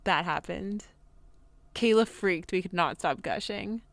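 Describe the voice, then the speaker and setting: A woman's voice: fairly low pitch, unhurried, vocal fry, no room sound. An actress in her twenties playing an anxious, candid young woman recording a rambling, self-deprecating audio diary in an audio drama. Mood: excited, confiding. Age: 20s. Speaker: lead actor in an audio drama. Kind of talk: confessional audio diary monologue.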